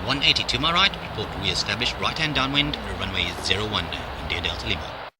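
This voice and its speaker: A man's voice: lowish voice, expressive, brisk, lightly acted. A man playing a pilot, reading back tower instructions in scripted radio-call practice. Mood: procedural. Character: male pilot in the landing pattern. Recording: clear outdoor-like background noise, distant timbre.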